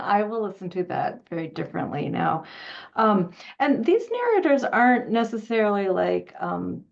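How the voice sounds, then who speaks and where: A woman's voice: on the low side, creaky, a little mellow. A woman hosting a casual interview, speaking conversationally, on a dull lo-fi recording. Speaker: host, moderator.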